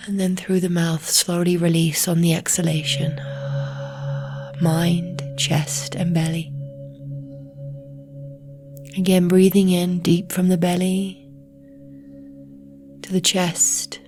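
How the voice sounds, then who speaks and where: A woman leads a guided relaxation in a low, even voice. She speaks softly and slowly, often close to a whisper, leaving long pauses. Meditation music with singing bowls plays clearly behind her. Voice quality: soft, breathy, often near whisper. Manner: slow, soothing, with long pauses. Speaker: yoga nidra meditation guide.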